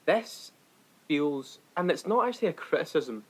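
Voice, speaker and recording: A man's voice: a little high, big pitch swings, normal speed, low-quality recording. Young man chatting casually. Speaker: podcast co-host. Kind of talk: casual film discussion.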